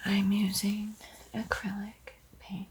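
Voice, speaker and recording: A woman giving an ASMR art tutorial in a breathy whisper, with a soft, unhurried, playfully eerie and teasing manner. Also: medium pitch, monotone, background noise. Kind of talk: whispered ASMR painting tutorial with spooky roleplay.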